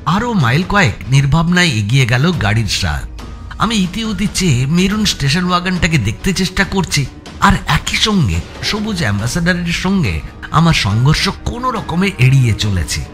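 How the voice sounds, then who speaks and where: A man's voice: on the high side, a bit bright. A man reading a scripted thriller as narrator, with a suspenseful, theatrical delivery. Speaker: story narrator. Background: background music.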